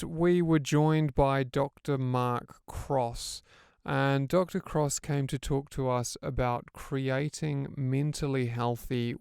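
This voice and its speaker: A man reading a short podcast introduction in a brisk, polite way. His voice is smooth but gravelly at the edges, and the recording is close and dry with no room sound. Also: medium pitch, a little mellow, dry recording. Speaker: host introducing a podcast episode.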